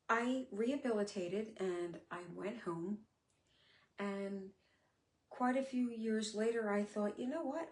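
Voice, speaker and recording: A middle-aged woman talking candidly on a live stream, her speech sometimes a little slurred, with many pauses and fillers. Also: mid-range voice. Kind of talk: casual live-stream talk. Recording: echoey room.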